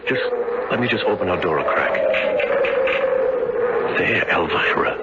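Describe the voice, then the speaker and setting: An actor playing a practical, bold, hearty husband in a 1970s radio drama, brisk, assertive and matter-of-fact. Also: male voice, low voice, lively intonation, over loud music. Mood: hushed, alert. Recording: dull, very noisy old radio recording.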